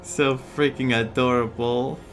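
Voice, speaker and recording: A woman giving casual playthrough commentary as a gaming streamer, in an excitable, gushing manner. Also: very deep voice, measured pace, slight background noise.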